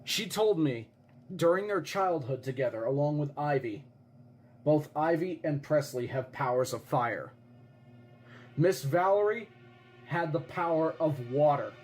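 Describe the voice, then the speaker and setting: A man playing an earnest eyewitness who tells a grave disaster theory in an insistent, suspenseful way with dramatic pauses. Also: fairly high pitch, animated, darkish tone, slightly rough. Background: music behind the voice.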